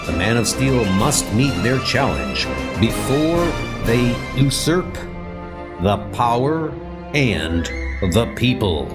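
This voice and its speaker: A man's voice: mid-range voice, sing-song, slow. A man delivering dramatic scripted narration for an audio story. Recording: music under the voice.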